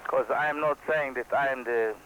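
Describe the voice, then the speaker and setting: An Israeli man whose first language is Hebrew explains flying in plain, halting English, his voice set at a mid pitch. He restarts his sentences often and sounds earnest and modest. A faint hiss sits under his voice. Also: fairly even intonation, lo-fi sound. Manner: halting non-native English, frequent restarts, earnest and self-deprecating. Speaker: helicopter pilot and instructor. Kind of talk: casual instructional talk to camera.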